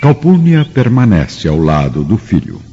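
A man's voice: lowish voice, wildly swooping pitch, a little slow, dark tone, slight background noise. A man with a Brazilian accent narrating a scripted radio drama in a solemn, literary storytelling manner. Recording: dull radio recording with long reverb, music behind.

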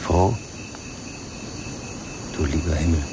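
An actor playing a guarded, bitter lover in a German radio crime drama, speaking bluntly and wearily. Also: male voice, very deep voice, takes it slowly, very noisy. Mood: weary, bitter. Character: Mark, guarded, bitter married lover, coolly accusing.

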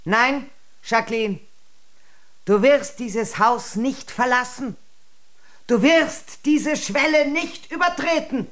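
A woman's voice: high-pitched, slow, fairly bright tone. An actress playing a limp, melancholy, scheming queen in an absurdist radio drama, imperious yet needy.

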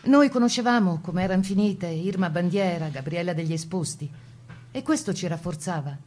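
An actress playing a proud Italian Resistance partisan and mother, telling her memories in a measured, reflective testimony for a radio play. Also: fairly low pitch, a little mellow, natural voice. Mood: firm, proud. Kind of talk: dramatized first-person testimony in a radio play.

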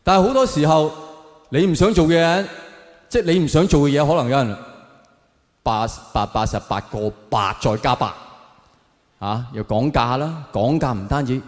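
A man's voice: mid-range voice, lively intonation, moderate pace, pauses often. A man with a Hong Kong Cantonese accent performing stand-up, giving mock-serious opinions and putting rhetorical questions straight to his audience. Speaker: stand-up comedian. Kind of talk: stand-up comedy routine to a live audience. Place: live venue, on stage before an audience. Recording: echoey hall with long reverb.

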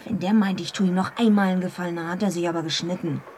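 A woman's voice: on the low side, fairly even intonation, fast, a little mellow, a little hiss or hum, close to an everyday voice. An actress playing a big, blunt, streetwise female wrestler and night guard in a German radio drama, brusque and confrontational. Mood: gruff, dismissive.

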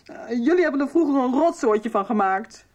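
A woman's voice: sits high, animated, a little fast, a little mellow. A young woman acting an upset, accusing teenage daughter in a radio soap. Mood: angry, accusing. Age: young adult.

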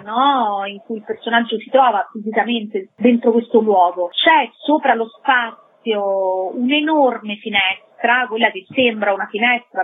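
A woman's voice: fairly high pitch, husky. A woman theatre director explaining conversationally in an interview, emphatically repeating key words. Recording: thin, tinny telephone line.

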